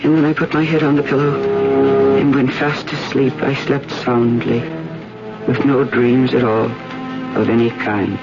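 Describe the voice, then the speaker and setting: A woman burdened by guilt, confessing gravely in a 1970s radio drama. Her delivery is hushed and intense. The old broadcast sounds muffled, with loud music underneath. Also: extremely low pitch, fairly even intonation, measured pace. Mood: resigned guilt.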